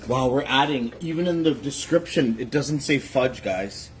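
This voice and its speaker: A man's voice: medium pitch, lively intonation, moderate pace, faint music behind. Chatty Guyanese man cooking. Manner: upbeat, chatty, addresses viewers. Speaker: guest chef. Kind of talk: casual cooking chat. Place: home kitchen.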